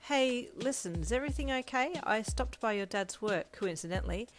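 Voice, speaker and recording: A woman's voice: fairly high pitch, animated, normal speed, pitched above the performer's own voice, natural voice. A woman voicing a breezy, protective male hero in an audio story, speaking in a friendly, reassuring manner. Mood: friendly, concerned. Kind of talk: dramatic audio story reading. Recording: music under the voice.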